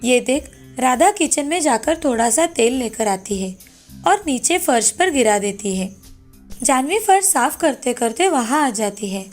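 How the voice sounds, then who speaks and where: A woman's voice: sits high, animated, a little slow. A woman narrator reading a scripted moral fairy tale as storytelling. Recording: background music under the voice.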